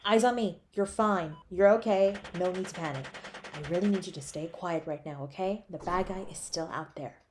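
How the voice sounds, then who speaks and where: A woman's voice: on the low side, normal speed, background noise, voice pushed down, close to an everyday voice. A woman playing a selfless, protective superhero in an intimate audio roleplay, speaking in an earnest, urgent way. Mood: hushed reassurance. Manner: hushed, earnest, reassuring.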